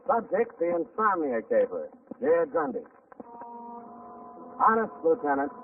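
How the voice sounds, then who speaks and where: A hard-boiled American private eye narrates a 1940s radio detective story with dry wit. His gravelly voice swoops up and down through a thin, noisy old broadcast recording. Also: high-pitched, recorded from a distance, narrow, tinny audio, exaggerated voice. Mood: wry dictating. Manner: wry, deadpan.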